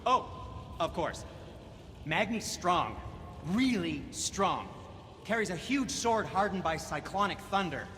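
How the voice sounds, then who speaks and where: Long reverb surrounds an irreverent dwarf blacksmith in a video game. He chatters fussily on the high side, his pitch bouncing around, full of scornful asides. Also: very animated, takes it slowly, long pauses, bright, forceful tone, full character voice. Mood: wry disdain. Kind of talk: video game cutscene acting. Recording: long hall reverb, faint background noise.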